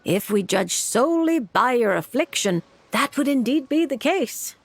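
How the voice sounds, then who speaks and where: A woman voicing a calm, knowing fortune teller in a video game, measured and cryptic, with music behind her. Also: fairly high pitch, gravelly, slightly rough, no room sound, full character voice. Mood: gently teasing. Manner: measured, cryptic, gently teasing. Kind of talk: theatrical video game voice acting.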